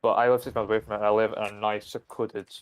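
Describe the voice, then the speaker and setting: A man's voice: fairly low pitch, rapid-fire. Ranting young Scottish man. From Scotland. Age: young adult. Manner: ranting, profane, animated storytelling. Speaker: university student streamer. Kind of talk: casual live-stream chat.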